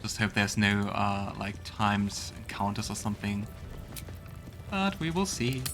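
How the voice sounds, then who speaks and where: A man's voice: on the low side, very animated, a little slow, music in the background. A man hosting a let's play, commenting casually and reading dialogue choices aloud.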